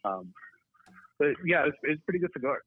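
A man's voice: on the high side, big pitch swings, fairly quick, slightly rough, no room sound. A man giving casual commentary as a panel guest over a tinny, narrow line.

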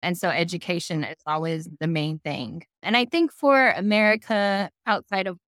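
A woman's voice: lowish voice, normal speed, fairly bright tone, dry recording, clean studio sound. A woman moderating a panel discussion in a conversational way, posing questions to guests.

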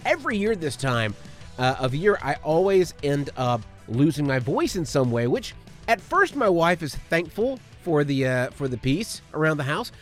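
A man's voice: fairly high pitch, big pitch swings, moderate pace, faint music behind. A man hosting a podcast in a chatty, rambling, upbeat way, his voice a bit strained from allergies. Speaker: podcast host. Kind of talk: casual podcast monologue. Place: studio.

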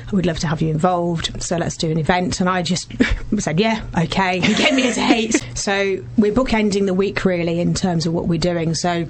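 A woman in her forties with a husky voice, a business founder talking warmly and earnestly as a guest in a radio studio interview. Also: on the low side, slight background noise. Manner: warm, effusive, speaks in long earnest runs with restarts.

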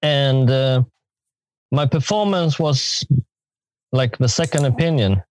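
Slow-spoken halting male guest. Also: mid-range voice, darkish tone, dry recording, low-quality recording. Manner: slow, halting, with many pauses. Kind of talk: podcast interview.

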